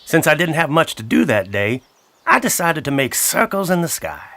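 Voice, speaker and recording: A man voicing a friendly, wry blackbird who tells a children's story in a folksy, chatty, expressive way. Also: fairly high pitch, normal speed, heavily acted. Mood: wry storytelling. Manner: folksy, expressive, addresses listener directly. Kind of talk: animated children's audiobook storytelling.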